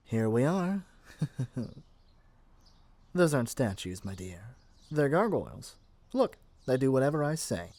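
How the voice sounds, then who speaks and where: A man's voice: medium pitch, big pitch swings, slow pace, pauses often, darkish tone. A man playing a lovesick young witch shopkeeper in a scripted ASMR roleplay, theatrical and coaxing in a playful way. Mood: smug, affectionate. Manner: theatrical, coaxing, playful, stammers when pleading.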